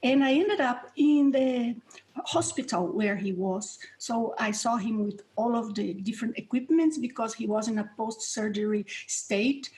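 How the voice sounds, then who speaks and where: A woman's voice: a little high, unhurried, a little mellow, rough recording. Brazilian-accented woman, earnest and reflective. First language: Portuguese. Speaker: guest researcher and instructor. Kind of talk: interview answers.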